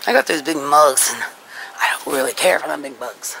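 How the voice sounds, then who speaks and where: A woman's voice: low-pitched, animated, normal speed, creaky, fairly bright tone, poor audio. A woman vlogger chatting casually to her viewers as she browses the aisles of a large store, filmed on a handheld camera. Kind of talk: casual shop-along commentary. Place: inside a large discount store. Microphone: handheld camera carried while walking. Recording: store background noise. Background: store ambience.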